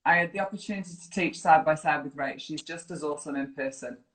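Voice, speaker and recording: A woman's voice: sits low, fast. Echoey, playful northern English woman. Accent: northern English, softened by years in New Zealand. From Yorkshire, northern England. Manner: playful, teasing. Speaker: fitness program director, interview guest. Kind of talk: casual live chat, reading viewer comments. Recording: roomy, echoey live online video chat.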